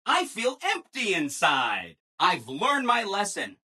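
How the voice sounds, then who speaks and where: Game robot assistant: bright, very animated, ingratiating, relentlessly upbeat people-pleaser. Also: male voice, high voice, slow, slightly rough, full character voice. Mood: pleading, apologetic. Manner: chipper, ingratiating, emphatic, apologetic people-pleaser. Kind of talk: scripted video game dialogue.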